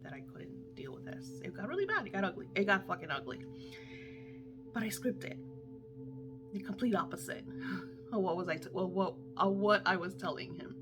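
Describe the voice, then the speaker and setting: A middle-aged woman coach talks straight to her audience in loose, unscripted speech, emphatic and quick to swear. Her voice sounds a bit worn, as though she is losing it. Music plays clearly beneath her, and her pitch sits in the middle range for a woman. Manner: candid and emphatic, swears freely. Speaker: manifestation coach. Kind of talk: casual unscripted talk to viewers.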